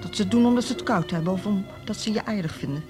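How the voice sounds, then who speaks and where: An actress plays an older female music teacher in a radio drama. She is disillusioned, speaking in a bitter, brooding way at a middling pitch. Music plays behind her. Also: quick pace, natural voice. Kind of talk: Dutch radio drama acting.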